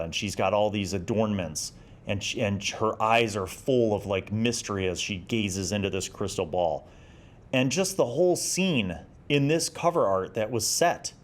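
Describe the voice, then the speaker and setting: Male vlog host, 40s, American, lowish pitch; nostalgic, reflective, keen, conversational delivery. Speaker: host of a retro gaming video series. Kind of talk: casual nostalgic review vlog.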